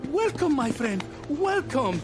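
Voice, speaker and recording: An effusive Italian restaurant host in a cinematic game. His high, lively voice gushes with deference, drawn out very slowly in an Italian accent. Music plays behind. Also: exaggerated voice. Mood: effusive, welcoming. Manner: gushing, deferential, chuckling. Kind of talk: cinematic video game dialogue.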